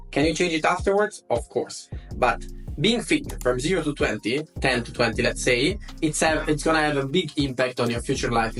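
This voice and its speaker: Young Italian man, non-native English, earnest self-improvement vlogger, medium pitch, faint background music. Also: a bit bright. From Italy. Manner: earnest, repeats words for emphasis. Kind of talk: advice monologue to camera.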